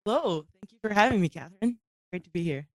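A woman in her late twenties from Kuwait speaks earnestly as a podcast guest. Her pitch is average, and her talk is loose with frequent fillers. The sound is dry and close, with no room echo. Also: animated, quick pace. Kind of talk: casual interview talk.

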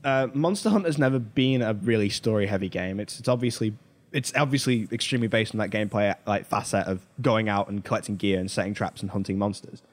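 A man's voice: fairly low pitch, fast. A man hosting a podcast, talking casually and informally, telling stories with emphatic asides. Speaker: podcast host. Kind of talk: casual pop culture discussion.

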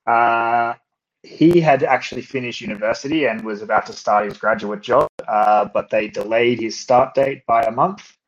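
A man's voice: mid-range voice, moderate pace. Aussie guy chatting as a podcast guest, lots of uhs, muffled lo-fi sound. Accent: Australian. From Australia. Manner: hesitant, many filler sounds. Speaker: guest, startup co-founder. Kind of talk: casual interview chat.

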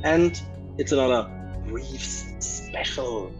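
A man's voice: mid-range voice, lively intonation, slow pace. A man with an Austrian accent hosting a podcast in a playful, jokey, theatrical way. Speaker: podcast host. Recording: slightly dull with music bed.

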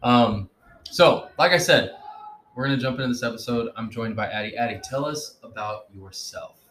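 A man's voice: mid-range voice, normal speed, fairly bright tone, a little hiss or hum. A young man hosting a casual podcast, upbeat and joking, with plenty of fillers. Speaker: podcast host.

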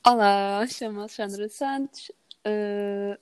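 A woman's voice: medium pitch, slow pace, clean tone. A woman with a European Portuguese accent giving casual, conversational answers as a podcast guest.

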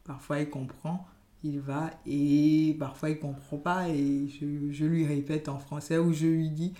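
Podcast guest: woman from Benin, first language Yoruba, dark mellow tone, reflective and halting, echoey room. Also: low-pitched, steady tone. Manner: hesitant, many fillers and restarts, thoughtful. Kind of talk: conversational interview.

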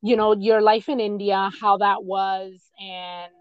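A woman's voice: medium pitch, little pitch movement, measured pace, clean tone, dry recording, lo-fi sound. A woman with an American accent hosting a podcast, speaking in an informal, conversational way. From United States. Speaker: podcast host. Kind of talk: casual interview chat.

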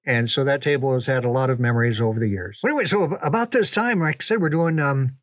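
An older man reminiscing casually in a folksy, unhurried, conversational way, as a storyteller. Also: mid-range voice, dry recording, clean studio sound. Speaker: storyteller recalling ministry memories.

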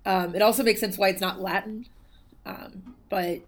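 A woman's voice: mid-range voice, steady tone, moderate pace. A woman co-hosting a casual podcast about a book, thoughtful and hedging, with many ums and you knows. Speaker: podcast co-host discussing a book. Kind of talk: casual conversational chat.

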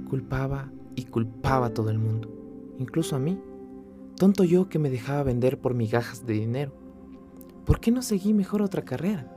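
Gravelly young man from Quito. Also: medium pitch. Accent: Quito Ecuadorian Spanish. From Ecuador. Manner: earnest and confessional. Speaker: podcast host. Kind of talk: personal storytelling monologue. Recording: faint music underneath.

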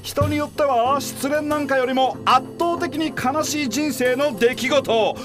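A man's voice: very high voice, unhurried. Guy doing a showy smug consultant man, preachy announcer delivery, radio comedy with music behind. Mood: scolding. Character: flamboyant, self-satisfied male workplace consultant. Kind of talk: comic radio drama acting.

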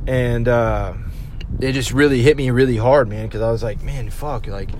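A man's voice: medium pitch, moderate pace, a little mellow, a little hiss or hum. A man in his thirties, a restaurant server, talking casually to his viewers in a rambling, profane way with lots of fillers. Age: 30s. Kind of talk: casual talk to camera.

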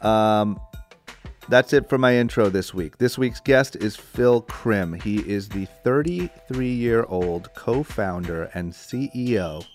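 A man hosting an interview podcast, talking in an eager, rambling way with lots of fillers and restarts. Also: on the low side. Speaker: podcast host. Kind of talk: casual interview chat.

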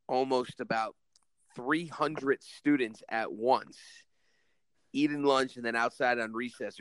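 A man's voice: medium pitch, no echo, poor audio. A man co-hosting a podcast, who teaches school, talking earnestly in a rambling way with many restarts and a commanding voice. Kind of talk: casual podcast chat.

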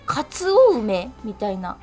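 A woman's voice: mid-range voice, huge pitch swings, measured pace, a little mellow, soft background music. A woman co-hosting a radio show in casual, conversational chat. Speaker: radio co-host.

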